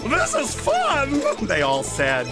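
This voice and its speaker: A woman reading a children's picture book aloud in a deliberate, expressive storytelling manner. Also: sits high, over loud music. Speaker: storybook reader.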